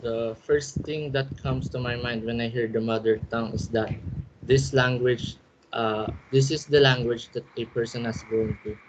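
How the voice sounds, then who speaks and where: A man's voice: medium pitch, fairly even intonation, moderate pace. A man with a Filipino accent in a student group discussion, laying out prepared points and backing them with facts. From Philippines. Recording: muffled, noisy recording.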